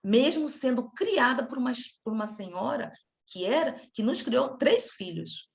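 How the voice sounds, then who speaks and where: Chatty Carioca woman with a gravelly voice. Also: a little high. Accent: Carioca Brazilian Portuguese. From Rio de Janeiro, Brazil. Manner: animated, chatty, self-interrupting. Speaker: study group facilitator. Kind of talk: casual group discussion.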